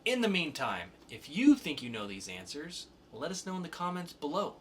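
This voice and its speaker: A man's voice: fairly high pitch, big pitch swings, normal speed. A man co-hosting a podcast in casual banter, with a playful game-show announcer flair and some swearing. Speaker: podcast co-host.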